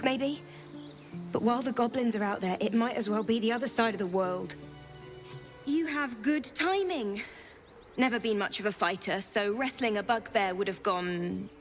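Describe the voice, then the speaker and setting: An actress playing a wary, plain-spoken woman survivor in a fantasy video game, frank, pragmatic and guarded. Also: a little high. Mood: worried, pragmatic. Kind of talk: video game character acting.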